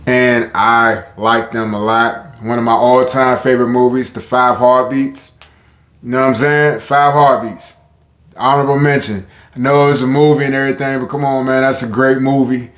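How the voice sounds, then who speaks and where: A man with a deep voice rambles casually straight to his viewers, stopping and restarting his sentences. He talks at an ordinary pitch. His voice bounces around an echoey room. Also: flat delivery, moderate pace, fairly bright tone. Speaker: vlogger. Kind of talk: casual talk to camera.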